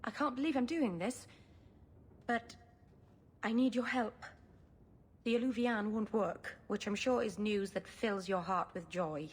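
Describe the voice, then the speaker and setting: An actress playing a stubborn, anxious young elf woman in a video game, speaking earnestly and haltingly. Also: medium pitch, husky. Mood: worried, frustrated. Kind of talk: dramatic video game acting.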